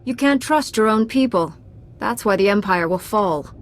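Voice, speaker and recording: An actress playing a stern, calm female Jedi knight who speaks in a composed, commanding way. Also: mid-range voice, normal speed, close to an everyday voice. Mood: firm. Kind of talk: video game cutscene.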